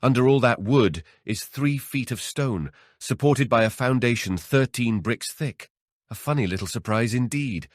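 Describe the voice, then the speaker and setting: Gruff old man, acted by a male narrator, ornery, paranoid, emphatic, audiobook storytelling, dry sound. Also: mid-range voice, animated, normal speed, no room sound, pitched above the performer's own voice, natural voice. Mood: ominous, gloating. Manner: cranky, emphatic, swearing storyteller. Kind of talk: dramatic audiobook character voice.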